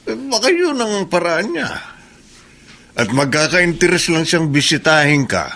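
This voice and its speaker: A man's voice: fairly high pitch, big pitch swings, unhurried, pushed and bright, full character voice. A man playing a kindly, consoling older mentor in prison in a Filipino radio drama. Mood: consoling, wise.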